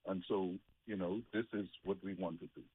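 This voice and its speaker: A man with a husky voice, talking conversationally as co-host of a faith show. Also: low voice, steady tone, fairly quick, dry recording. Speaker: religious show co-host, interview guest. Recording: dry, tinny phone line.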